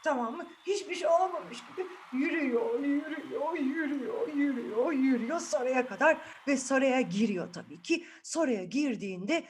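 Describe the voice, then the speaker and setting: A woman's voice: high-pitched, lively intonation, normal speed, few pauses, a little hiss or hum. A woman telling fairy tales to children in a playful, chatty way, often checking in with her listeners. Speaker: children's storyteller, host. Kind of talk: animated fairy tale narration. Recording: music behind the voice. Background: music.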